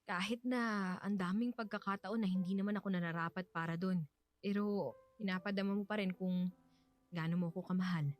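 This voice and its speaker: A woman with a Filipino accent playing a tender widow in a radio drama, softly and hesitantly opening her heart to love again. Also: medium pitch, fairly even intonation, fairly quick, lightly acted. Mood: shy, apologetic. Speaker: radio drama actress and narrator.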